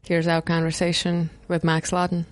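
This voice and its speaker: Podcast host, hesitant, halting, dry close sound. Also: medium pitch, completely flat delivery, no room sound. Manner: hesitant, many fillers and restarts. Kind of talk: casual podcast interview.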